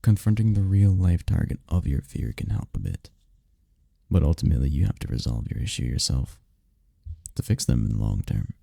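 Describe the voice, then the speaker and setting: A man playing a tender, powerful incubus boyfriend in an intimate roleplay ASMR, speaking softly and soothingly with unhurried, caring murmurs. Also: big pitch swings, dry recording, studio quality, full character voice. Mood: gentle, reassuring. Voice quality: deep, dark, gravelly, husky. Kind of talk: intimate roleplay ASMR, scripted comfort monologue. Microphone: close mic, dry.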